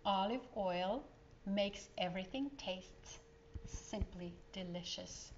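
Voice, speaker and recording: A woman's voice: medium pitch, slow, slight background noise. A woman voicing a kindly, knowing aunt in a storybook, explaining things patiently. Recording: dull, slightly lo-fi sound.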